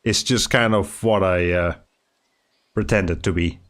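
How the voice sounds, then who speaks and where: A man speaking as a streamer, talking in a soft-spoken, halting way with many fillers and restarts, and sounding slightly nasal as he recovers from a cold. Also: deep voice, fairly even intonation. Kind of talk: reflective casual monologue.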